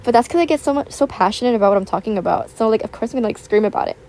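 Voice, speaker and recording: Raspy young woman chatting in a rambling way. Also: on the high side, animated, rapid-fire, mellow, slight background noise. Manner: rambling, filler-heavy, chatty. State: raspy from just waking up. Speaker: YouTuber. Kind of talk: casual chat voiceover. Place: home, with household noise. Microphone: built-in tablet microphone.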